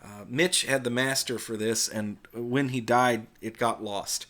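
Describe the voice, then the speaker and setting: A man's voice: medium pitch, fairly even intonation, measured pace, fairly bright tone. Casual middle-aged American man explaining things. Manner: conversational, explanatory. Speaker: video host, former radio worker. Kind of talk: casual unscripted tutorial narration.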